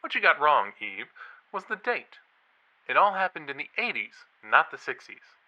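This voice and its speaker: A man's voice: a little high, very animated, normal speed, sharp tone, highs cut off, exaggerated voice. A man playing an eager young college podcaster and ghost believer in an audio drama, with a showy, playful delivery. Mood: playful, intrigued. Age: young adult. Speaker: podcast co-host character.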